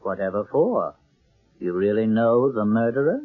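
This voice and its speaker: A husky-voiced wealthy man fends off accusations in a 1970s mystery play. His speech is formal and wordy, with hesitant stammers and wide swings of pitch. The sound is a tinny, narrow old broadcast. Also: sing-song, normal speed, faint music behind. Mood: mocking amusement. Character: wealthy man, evasive host, feigning innocence under accusation. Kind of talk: 1970s radio mystery acting. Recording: tinny, band-limited old radio broadcast.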